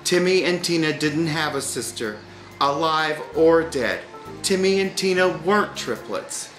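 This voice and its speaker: A man narrates a storybook in a wry, deliberate way. His voice sits a little high and moves slowly. The room sounds echoey, and music plays behind him. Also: animated, a bit bright. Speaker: audiobook reader.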